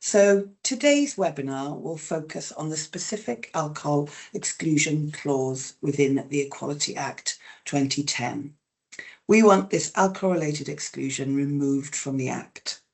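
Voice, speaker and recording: A woman's voice: sits low, a little slow, gravelly. A woman hosting a webinar, reading out a scripted introduction. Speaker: webinar host.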